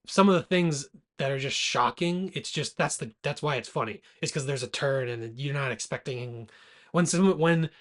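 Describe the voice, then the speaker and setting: A man's voice: medium pitch, normal speed, fairly bright tone. A man co-hosting a movie podcast, chatting casually in a loose, rowdy, irreverent way, a little tipsy from beer. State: tipsy after a couple of beers. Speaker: podcast co-host.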